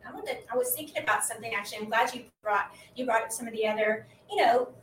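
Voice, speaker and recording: A middle-aged woman with a high voice, bubbly and chatty in casual conversation. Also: fast talker, noisy background. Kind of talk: casual chat. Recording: echoey room, mic at a distance.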